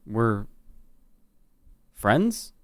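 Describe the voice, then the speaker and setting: Man playing a dazed, halting young guy. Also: fairly low pitch, big pitch swings, no echo, pitched below the performer's own voice, exaggerated voice. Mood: dazed, hesitant. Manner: halting, confused. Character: dazed, dishevelled young man, unsure who his friend is. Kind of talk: informal live script reading.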